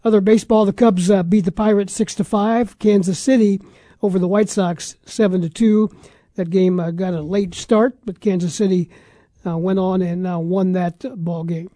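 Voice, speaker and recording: A man's voice: high-pitched, fairly even intonation, normal speed, warm tone, no echo, studio quality. A man with an American accent hosting casual sports talk, running through scores in a conversational, matter-of-fact way. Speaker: sports radio talk show host.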